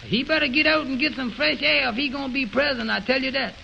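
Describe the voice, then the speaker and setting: A very high, heavily creaky voice plays a naive, puzzled fellow in a 1920s radio comedy. He is slow on the uptake and asks halting questions in folksy, ungrammatical speech. The old recording is dull and faintly hissy. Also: pushed and bright, full character voice. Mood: indignant. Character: naive, slow-witted, questioning man, Amos.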